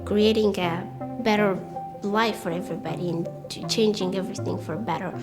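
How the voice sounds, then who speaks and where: A woman's voice: mid-range voice, steady tone, normal speed. Young Afghan-accented woman, earnest, over music. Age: young adult. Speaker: university student interviewee. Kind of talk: spontaneous interview talk.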